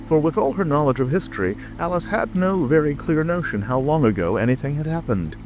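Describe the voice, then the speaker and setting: A man's voice: fairly high pitch, lively intonation, fairly quick. A man narrating a scripted storybook as a dramatic audiobook reading. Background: music, rain ambience.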